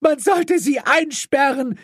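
A man voicing a hysterical middle-aged mother, shrill, stammering and outraged, in an audiobook. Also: animated, takes it slowly, fairly bright tone, dry recording, studio quality, heavily acted.

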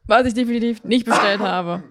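Woman, mid-range pitch, casual podcast chat, colloquial rambling storyteller. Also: fairly quick, background noise. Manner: colloquial, self-quoting storytelling. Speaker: podcast co-host.

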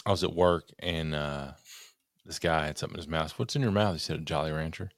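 Deep-voiced, deadpan man in his thirties. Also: fast talker, dry recording, studio quality. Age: 30s. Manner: wry, deadpan jokes, jumps between topics. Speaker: podcast co-host. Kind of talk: casual chat.

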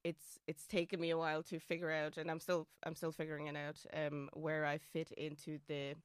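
A non-binary speaker with a feminine voice in their late twenties, from Austria with an Austrian German accent and German as a first language, talking through a thoughtful interview with many fillers and restarts. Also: female voice, sits low, monotone, fairly quick, clear, resonant tone, no echo, studio quality. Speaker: guest. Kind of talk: casual interview chat.

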